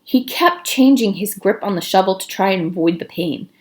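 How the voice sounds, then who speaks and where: Animated woman reading a story aloud. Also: medium pitch, normal speed. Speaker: read-aloud narrator. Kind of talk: children's novel read aloud, expressive.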